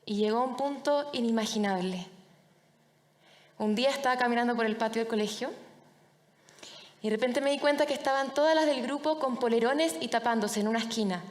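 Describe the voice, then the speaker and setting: Young chilean woman giving a personal talk, highish voice, big echoey hall, pauses for effect. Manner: deliberate, dramatic pauses in short phrases. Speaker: public speaker, anti-bullying foundation director. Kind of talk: personal storytelling talk to an audience. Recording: reverberant large hall.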